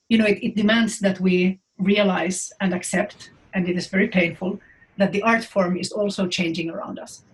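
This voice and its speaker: A woman from Finland talks on a video call from a room at home, frank and animated. Her words come quick, with restarts and repeated words. Her pitch sits at an ordinary middle height. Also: rough recording. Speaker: film industry guest speaker. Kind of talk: panel talk on a video call. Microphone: laptop mic on a video call.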